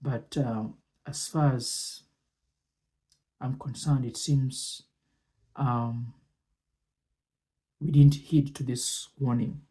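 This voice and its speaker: A man with a mellow, mid-pitched voice reads and explains at a very unhurried pace. He is deliberate and measured, setting phrases apart with long pauses. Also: steady tone. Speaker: presenter and commentator. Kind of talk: reading speech excerpts with explanatory commentary.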